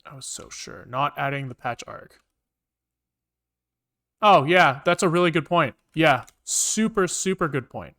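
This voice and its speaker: A man's voice: fairly high pitch, lively intonation, unhurried, bright, forceful tone. A man live-streaming a programming session, talking casually to himself with informal, sweary muttering as he works things out. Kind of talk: casual thinking aloud while coding.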